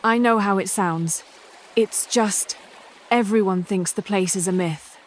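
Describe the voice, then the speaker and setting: A woman's voice: mid-range voice, a little slow, slight background noise, close to an everyday voice. A woman voicing a determined English adventurer searching for her late father's artifact, speaking in a guarded, matter-of-fact way with an English accent. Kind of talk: amateur animated voice acting.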